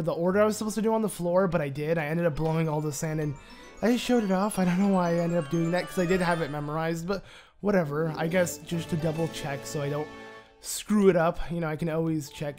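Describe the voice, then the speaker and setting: Raspy-throated man narrating gameplay. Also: fairly high pitch, a little mellow. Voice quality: raspy, voice cracking. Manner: rambling, lots of "like" and "uh". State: hoarse from a sore throat. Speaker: Let's Play commentator. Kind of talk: casual gameplay commentary.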